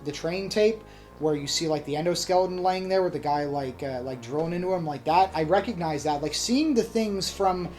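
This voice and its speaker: A man co-hosting a podcast, chatting casually and haltingly, repeating words as he works toward a point. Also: on the high side, darkish tone, faint music behind. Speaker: podcast co-host. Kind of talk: casual chat.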